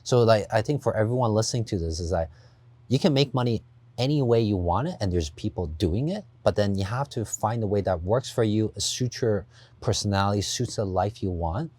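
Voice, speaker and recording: Low-voiced man from Taiwan, dry studio sound. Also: animated, brisk, a little mellow, no echo. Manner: earnest explaining with frequent "you know" and "right?". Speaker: guest investor. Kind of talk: podcast interview.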